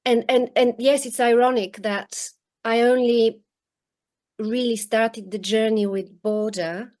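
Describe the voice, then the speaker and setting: Guest author, woman, forties, from Bulgaria; slow and hesitant delivery over a video link. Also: a little high, fairly even intonation, darkish tone. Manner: halting, many restarts and ums. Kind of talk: reflective interview answers. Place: home in rural northern countryside. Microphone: video call.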